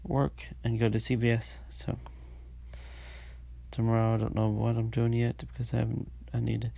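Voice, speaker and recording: A man with a stuffy, congested nose, hosting a casual solo diary podcast and talking in a halting way with many fillers and restarts. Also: mid-range voice, completely flat delivery, creaky, dark tone, no echo. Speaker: podcast host.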